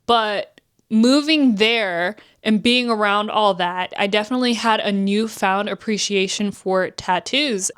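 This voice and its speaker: Woman, 20s, from New Jersey; candid, chatty vlogger, mid-range voice. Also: clear, resonant tone. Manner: chatty, candid, acts out quotes with pitch swings. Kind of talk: casual vlog storytelling.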